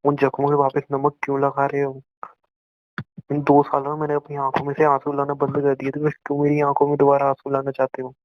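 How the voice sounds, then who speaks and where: A man's voice: medium pitch, monotone, quick pace, no room sound. A young Indian man in an emotional phone conversation with an ex, hurt and close to tears, reproachful and repeating himself. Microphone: phone call. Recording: muffled lo-fi phone call recording.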